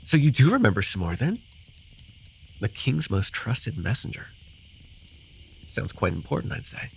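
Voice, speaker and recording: Man playing a low-voiced rambling fae storyteller. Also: sing-song, moderate pace, vocal fry, slightly rough, full character voice. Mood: curious. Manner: rambling, hesitant, storytelling with apologetic asides. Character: rambling, amused male forest-dwelling fae storyteller with bird companion. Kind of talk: fantasy ASMR roleplay acting. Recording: noisy with cricket and insect ambience. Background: night forest ambience with crickets and insects.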